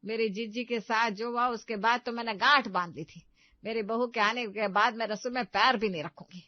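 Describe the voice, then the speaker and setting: A woman plays a put-upon middle-aged mother-in-law with a fairly high voice, recorded dry and slightly muffled. She is stubborn, proud and full of emphatic complaint, in a comic story-drama style. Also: moderate pace, no echo, natural voice. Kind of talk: Hindi comedy story dramatization.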